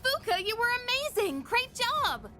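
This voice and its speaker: Feisty teenage girl, very high, bright, widely swooping intonation, forthright, emotional anime game dub. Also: very animated, unhurried, faint music behind, exaggerated voice. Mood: upbeat.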